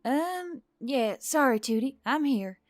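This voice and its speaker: A woman voicing a tense, gruff, haunted woman diver in a narrated story, speaking tersely. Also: sits high, lively intonation, slow pace, no room sound, soft background music, pitched above the performer's own voice. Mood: distracted. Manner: terse, hissing and growling under stress.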